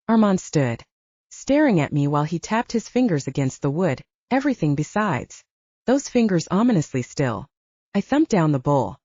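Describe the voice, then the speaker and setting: A woman's voice: lowish voice, warm tone, no echo, muffled recording. A woman reading scripted first-person audiobook narration.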